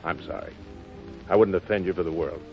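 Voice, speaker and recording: An actor playing a tough but courteous poker-faced gambler, talking in casual clipped lines in a 1930s radio drama. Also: male voice, low-pitched, fairly even intonation, fairly quick, creaky, soft background music. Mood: tender, restrained. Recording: dull old 1930s radio recording.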